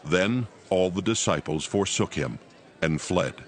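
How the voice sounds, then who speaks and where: A man's voice: sits low, lively intonation, a little slow. A man narrating scripture from a script, in a solemn storytelling manner. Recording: background music under the voice.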